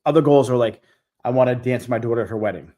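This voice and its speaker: A man's voice: mid-range voice, fast talker, mellow. A man in his forties hosting a live stream Q&A, giving business coaching in a direct, emphatic way that repeats key points. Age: 40s. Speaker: host.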